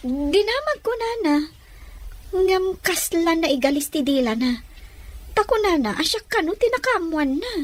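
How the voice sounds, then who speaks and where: A very high-pitched young daughter in love, acted by a woman. She speaks as a devoted, searching character in a family audio drama. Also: sing-song, a little slow, full character voice. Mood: worried, questioning. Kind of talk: Ilocano audio drama dialogue.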